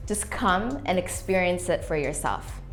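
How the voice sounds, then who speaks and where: A woman's voice: on the low side, lively intonation, unhurried, soft background music. A woman in her twenties from Canada, talking in a documentary interview in an earnest, reflective, motivational way. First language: English. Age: 20s. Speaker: playwright and youth worker.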